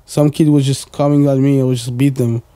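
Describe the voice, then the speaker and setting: A man's voice: mid-range voice, flat delivery, a little fast, mellow, clear, resonant tone, no echo. A man, chatting casually as a podcast guest, rambling with lots of fillers and the odd swear word. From Portugal. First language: Portuguese. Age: 20s. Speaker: guest, music producer.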